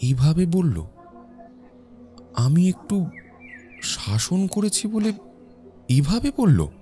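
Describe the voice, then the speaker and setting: A man's voice: high voice, sing-song, slow pace, warm tone, soft background music, heavily acted. A man playing a weary, easily swayed young husband in a village cartoon, grumbling and a little put-upon. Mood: indignant. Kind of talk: animated cartoon moral-story acting.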